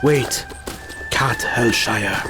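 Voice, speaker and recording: A man's voice: mid-range voice, very slow, over music. A man playing a loyal, solemn village musician who speaks formally and earnestly in an audio drama. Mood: gently confident.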